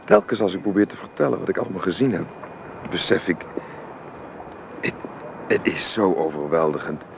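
A man's voice: deep voice, lively intonation, normal speed, vocal fry. A middle-aged man playing a wistful, affectionate father in a naturalistic radio drama, searching for his words and repeating them. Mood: wistful, reflective. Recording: noisy radio play recording.

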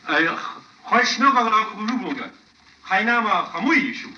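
An actor playing a brusque, meddling housemate in a radio play. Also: male voice, sits high, animated, normal speed, sharp tone, heavily acted. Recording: echoey, muffled, distant radio play recording.

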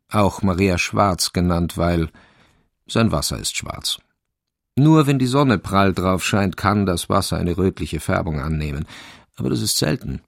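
A man narrating a crime radio play in a measured, wry, literary manner. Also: low-pitched, vocal fry, dry recording, clean studio sound, full character voice. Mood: matter-of-fact. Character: dry, omniscient male narrator of a village crime story. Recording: music behind the voice. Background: instrumental music.

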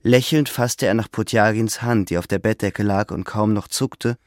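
A man narrating a literary radio play from a script in measured storytelling. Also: fairly low pitch, no room sound, clean studio sound.

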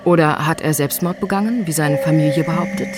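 A female narrator reads a scripted documentary. Her voice sits at a middle pitch, and music plays clearly behind it. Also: fairly quick.

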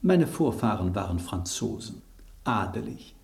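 A cocky talking dessert spoon with grand noble airs. The pitch swoops about in a roomy space, gossipy and conspiratorial, in radio play style. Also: male voice, very animated, measured pace, gravelly, darkish tone, exaggerated voice. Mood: grandiose. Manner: dramatic, conspiratorial, boastful. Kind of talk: German radio drama acting.

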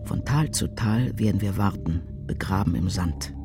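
A man reciting poetry for a radio drama in a grave, measured, declamatory way. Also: sits low, close to an everyday voice. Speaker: reader in a radio adaptation of a poem cycle. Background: music.

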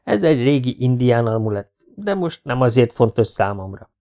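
A man's voice: medium pitch, very animated, warm tone. A man reading an audiobook, voicing a wounded, stubborn commando who speaks tersely and halts when moved. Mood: explaining.

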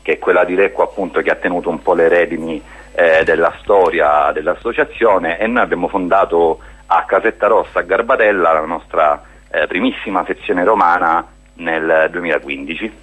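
A man's voice: deep voice, normal speed, a bit bright, slightly rough. A man speaking as a guest in a radio interview, explaining a project in an earnest way. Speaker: guest, spokesman of a hiking association.

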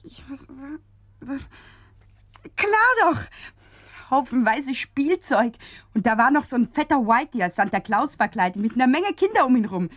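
Flustered young gang girl, high pitched, breathless, dry sound, radio drama. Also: very animated, normal speed, long pauses, dry recording. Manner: anxious, breathless, naive. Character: nervous young female accomplice of militant gang.

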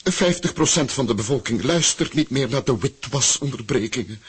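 A man's voice: mid-range voice, lively intonation, normal speed, breathy edge. A man playing an obsequious, flustered government aide in a satirical radio play, stammering deferentially as he fussily reports to his superior. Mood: flustered, alarmed.

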